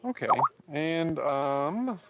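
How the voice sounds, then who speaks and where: A man working as a customer support agent, talking calmly and methodically with some hesitation on a phone call. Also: a little high, very slow. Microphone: telephone line. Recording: muffled lo-fi phone call audio.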